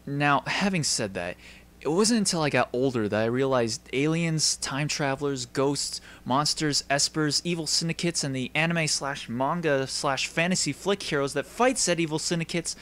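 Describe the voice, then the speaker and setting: A man's voice: fairly high pitch, normal speed, fairly bright tone, close to an everyday voice. A man voicing a cynical, world-weary teenage schoolboy in a dry, wry anime dub monologue. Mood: wry, resigned.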